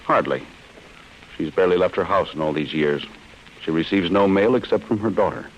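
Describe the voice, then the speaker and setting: An actor with an American accent playing a cold, relentless chief insurance investigator in an old-time radio drama, speaking in a stern, deliberate way. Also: male voice, low-pitched, natural voice. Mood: grim, resolute. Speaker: actor in a radio detective serial. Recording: muffled, hissy old radio broadcast.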